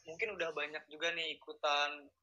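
Brisk upbeat young man hosting. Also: fairly high pitch, flat delivery, bright, forceful tone, dull audio. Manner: upbeat, polite, filler-heavy. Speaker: podcast host. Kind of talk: casual podcast hosting.

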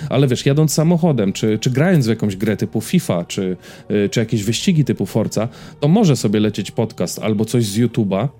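A man's voice: fairly low pitch, expressive, brisk, warm tone. A man in his thirties chatting casually as a podcast host, rambling and hesitant, often repeating words as he goes. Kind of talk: casual chat about personal habits and series.

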